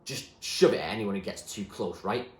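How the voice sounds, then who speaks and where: A man voicing a calm, blunt and ruthless young gang leader in a storybook, with a commanding manner. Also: fairly low pitch, moderate pace, roomy sound, natural voice.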